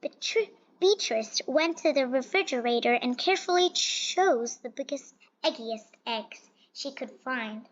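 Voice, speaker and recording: A woman with a high-pitched voice reads a children's storybook aloud. Her delivery is expressive, with small stumbles and repeated words here and there. The recording is dull and lo-fi. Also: measured pace. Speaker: storybook reader. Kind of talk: children's picture book read-aloud. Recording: dull, lo-fi sound.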